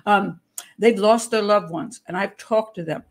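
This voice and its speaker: Chatty older woman, hoarse. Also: mid-range voice. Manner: chatty, rambling, self-interrupting, quick to laugh. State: hoarse, clearing throat. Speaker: host, criminal profiler. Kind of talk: casual livestream talk.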